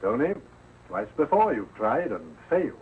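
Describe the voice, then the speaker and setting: Male actor as kindly judge, formal, expressive melody, thin noisy old recording. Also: mid-range voice, normal speed, close to an everyday voice. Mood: courteous. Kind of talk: early television sitcom acting. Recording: tinny, noisy old 1940s broadcast.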